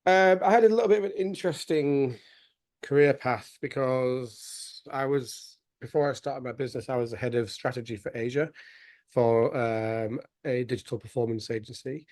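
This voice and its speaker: A man's voice: medium pitch, normal speed, a little mellow, dry recording. A man in his thirties with a West Yorkshire accent, chatting casually and self-deprecatingly as a guest on a video call webinar. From West Yorkshire, England. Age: 30s. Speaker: webinar guest, programme graduate.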